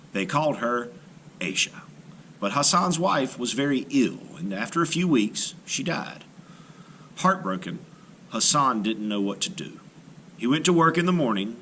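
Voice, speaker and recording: A man's voice: medium pitch, bright, forceful tone, a little hiss or hum, rough recording. A man reading a children's folktale aloud as a storyteller, in a scripted narration. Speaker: storyteller, art teacher.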